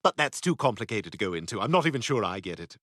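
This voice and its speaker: A man's voice: on the low side, very animated, fast talker, fairly bright tone, dry recording, studio quality. An actor voicing an arrogant, precocious baby boy with a posh British accent, talking in a smug, condescending and cutting way. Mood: indignant. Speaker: therapy patient. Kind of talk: animated sitcom voice acting.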